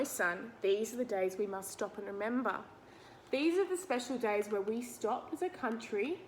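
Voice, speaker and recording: A woman's voice: a little high, darkish tone, slight background noise, low-quality recording. A woman reading a picture book aloud to children, explaining it simply and putting questions to the listener. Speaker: read-aloud teacher.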